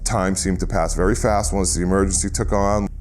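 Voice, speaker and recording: A middle-aged man, a private pilot, telling his story in an interview with a reflective, halting way of speaking. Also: deep voice, fairly even intonation, slight background noise. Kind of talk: interview recounting an event.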